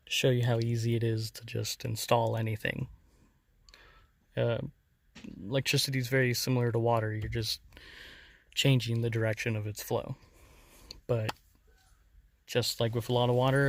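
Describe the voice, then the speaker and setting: A man's voice: mid-range voice, fairly even intonation, normal speed, pauses often. A man hosting a hands-on DIY tutorial, explaining each step in an informal, casual way. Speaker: host of a DIY installation demonstration. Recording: noticeable background noise.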